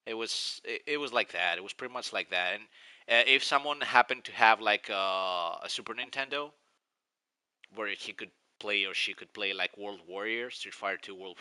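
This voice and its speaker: A man's voice: fairly low pitch, sharp tone, no echo, rough recording. A man in his forties with a Greek accent, rambling and nostalgic as he chats casually on a live stream. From Greece. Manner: rambling, nostalgic, restarts often.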